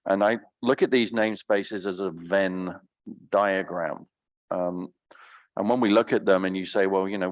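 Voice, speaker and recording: Man, low voice, halting conference panelist, dry and close. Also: no echo, low-quality recording. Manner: halting, repeats words while thinking. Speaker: panel discussant.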